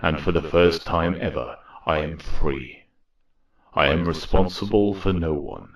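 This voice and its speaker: A man playing a weary, solemn robot war leader in a grave, deliberate audio drama monologue. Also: low voice. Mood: resolute. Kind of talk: reflective dramatic monologue narration.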